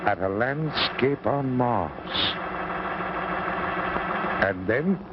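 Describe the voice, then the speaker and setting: An actor playing a solemn, awestruck scientist who narrates deliberately and portentously in a 1950s drama. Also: male voice, mid-range voice, expressive. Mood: solemn wonder. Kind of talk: slow dramatic first-person narration. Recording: very noisy, muffled 1950s broadcast recording.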